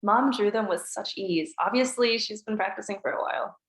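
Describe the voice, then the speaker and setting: A woman reading a grieving teenage girl at a table read, soft and subdued. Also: medium pitch, fairly quick, reverberant, lightly acted. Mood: subdued grief.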